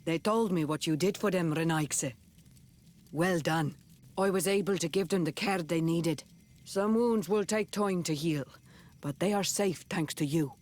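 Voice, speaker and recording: Man acting a high-voiced solemn native healer. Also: a little slow, darkish tone, close to an everyday voice. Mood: grateful. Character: caring male native healer, solemn and grateful. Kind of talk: scripted fantasy game acting.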